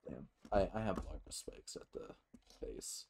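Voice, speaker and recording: A man giving casual, halting gameplay commentary as host, with some offhand swearing. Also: sits low, sing-song, vocal fry, a little mellow, faint music behind. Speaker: gaming video host.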